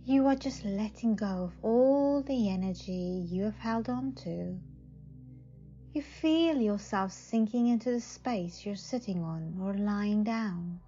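Slow, soothing woman guiding meditation over music. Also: medium pitch, animated, mellow. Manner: slow, soothing, with long pauses. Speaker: psychic advisor, meditation guide. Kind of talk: guided meditation. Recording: slightly dull audio. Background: faint music.

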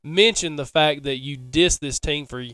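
A man's voice: fairly high pitch, moderate pace, bright, forceful tone. An American man co-hosting a sports podcast, bantering casually in a teasing, argumentative way.

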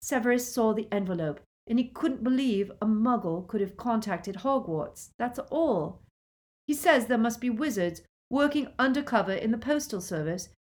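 A woman's voice: mid-range voice, lively intonation, measured pace, hardly any pauses, voice pushed up, close to an everyday voice. A woman voicing an earnest, tender-hearted but proud young girl in an audiobook, speaking with emotion. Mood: defensive.

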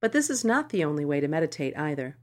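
A woman podcast host reading scripted meditation guidance in a measured, instructional manner. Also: low voice, big pitch swings. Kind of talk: scripted narration of a meditation article.

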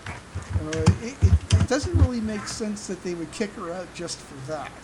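A podcast host chatting casually in a halting way, often stammering and repeating words. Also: medium pitch, expressive. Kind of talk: casual chat. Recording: clear background noise.

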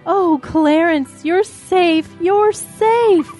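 A woman's voice: very high voice, very slow, a little mellow, soft background music, exaggerated voice. A woman playing a worried, loving mother cow, motherly and emotional, in a children's audio drama. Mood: relieved.

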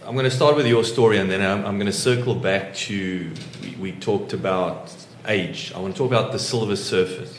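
A man's voice: lowish voice, brisk, few pauses, roomy sound, slight background noise. A man hosting an interview, keen and chatty, who often repeats his first words as he starts a question. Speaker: podcast host, interviewer.

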